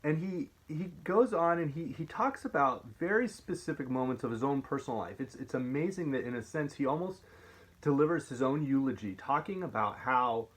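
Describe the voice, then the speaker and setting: Husky-voiced man musing over a speech. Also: mid-range voice, animated. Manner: thoughtful, halting, frequent restarts and fillers. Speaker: science teacher reading and discussing a speech. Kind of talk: casual spoken commentary with read-aloud passages.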